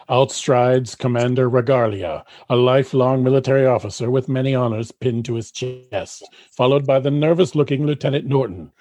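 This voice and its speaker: A man delivers scripted narration for a radio comedy. He has a mid-pitched voice and a dry announcer's manner, recorded close with little room sound. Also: warm tone, no echo.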